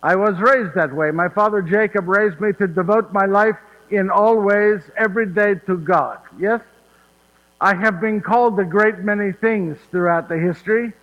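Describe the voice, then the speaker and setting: A man's voice: high-pitched, moderate pace, highs cut off, natural voice. A man playing Joseph, fond storyteller. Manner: folksy, laughing, asks "yes?" often. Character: warm old Joseph of Nazareth, chatty storyteller. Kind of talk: one-man stage monologue. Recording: hall reverb.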